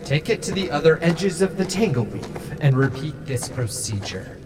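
Aloof ancient sage in echoing hall. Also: male voice, a little high, big pitch swings, background noise. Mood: calm, knowing. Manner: measured, aloof, instructive. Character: composed, knowing ancient non-human male sage. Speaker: mentor. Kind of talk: fantasy audio drama acting. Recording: distant, echoing hall, music underneath.

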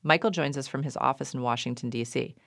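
A woman hosts a radio interview, putting questions to her guests with curiosity. Her mid-pitched voice has a gravelly edge. It is recorded close and dry. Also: quick pace, fairly bright tone, dry recording. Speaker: radio show host.

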